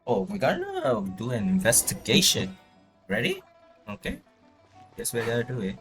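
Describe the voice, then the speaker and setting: A man's voice: medium pitch, wildly swooping pitch, moderate pace, music in the background. A man live streaming a game with casual commentary, muttering reactions to himself as he plays.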